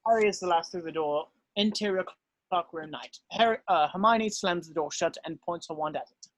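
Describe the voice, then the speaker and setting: Man, narrator, scripted stage directions, slightly high pitch, dry close sound. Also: a little fast, a bit bright, dry recording.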